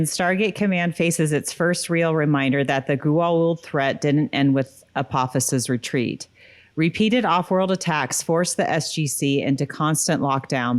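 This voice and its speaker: A woman co-hosting a podcast in a casual, conversational style. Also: sits low. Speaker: podcast co-host.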